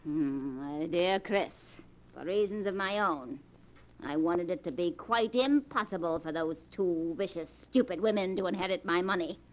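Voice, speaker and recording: A woman's voice: on the low side, a little slow, natural voice, rough recording. A woman playing a cackling scheming grandmother. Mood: sly, mocking. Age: older. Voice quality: dry, cackling. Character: scheming old grandmother, cold and mocking. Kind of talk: 1940s radio acting. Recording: old radio recording with faint hiss.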